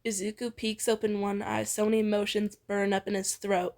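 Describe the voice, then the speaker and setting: A woman's voice: mid-range voice, level tone, a little fast, darkish tone. A woman co-narrating fan fiction in an informal, playful storytelling read. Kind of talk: expressive fan fiction reading.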